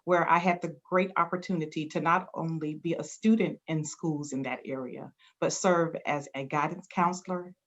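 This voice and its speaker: A woman's voice: deep voice, steady tone, normal speed, few pauses. A woman from Florida, a school district director, introducing herself on a panel with composed pride. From Florida, USA. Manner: proud, composed.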